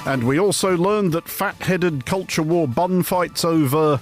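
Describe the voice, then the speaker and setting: A man presenting a satirical news review as scripted narration, with a deadpan, wry and wordy delivery. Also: fairly high pitch, unhurried. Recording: music bed under the voice. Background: music.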